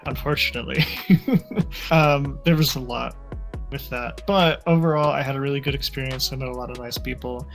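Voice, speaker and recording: A man's voice: mid-range voice, creaky, fairly bright tone. A young man answering interview questions in a casual, reflective and self-deprecating way. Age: young adult. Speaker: interview guest.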